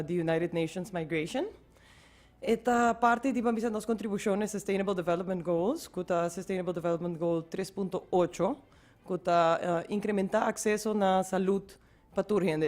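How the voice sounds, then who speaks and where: A woman's voice: mid-range voice, darkish tone. A woman who works on a free clinic project, explaining it fluently in a radio interview and mixing in English terms.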